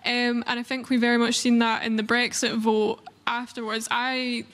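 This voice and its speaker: A woman's voice: a little high, little pitch movement, moderate pace, a bit bright, in a large hall. A young woman from Scotland with a Scottish accent, speaking earnestly on a panel, with frequent "um" and "like" fillers. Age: young adult. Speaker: panel speaker, youth activist. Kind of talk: panel discussion remarks.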